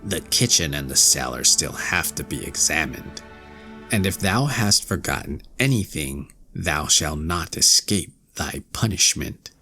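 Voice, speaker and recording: Man playing a wicked storybook stepmother, low-pitched, menacing, threatening, old-fashioned phrasing. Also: expressive, a little slow, bright, forceful tone, soft background music, heavily acted. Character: malicious, threatening stepmother scolding a girl.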